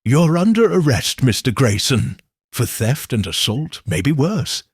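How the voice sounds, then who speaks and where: A man's voice: mid-range voice, very animated, a little slow, husky, no room sound, studio quality, exaggerated voice. A man voicing a stern police inspector who barks curt orders. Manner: barking, curt.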